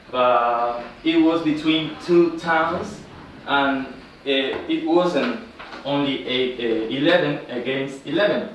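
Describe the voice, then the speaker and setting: A man from Ecuador with a Spanish accent gives an informal classroom presentation in halting, non-native English, often checking with a short tag question. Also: mid-range voice, fairly bright tone. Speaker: student presenter, football coach. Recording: very echoey room, distant mic, noisy.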